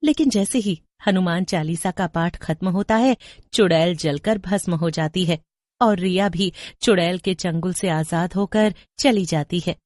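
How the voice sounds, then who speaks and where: A woman's voice: on the low side, unhurried, darkish tone, no room sound. Dry close woman narrator. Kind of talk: scripted horror story narration.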